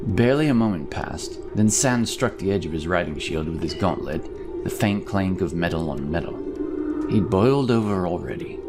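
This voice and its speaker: A man narrating a scripted fantasy audio story in a measured, dramatic way. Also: low-pitched, lively intonation. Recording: music behind the voice. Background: music.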